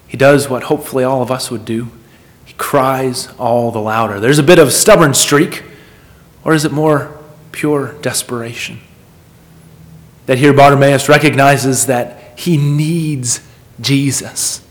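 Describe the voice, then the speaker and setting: A man's voice: mid-range voice, fairly even intonation, a little slow. A man preaching a sermon in an earnest, emphatic manner, repeating phrases for weight and putting questions to his listeners.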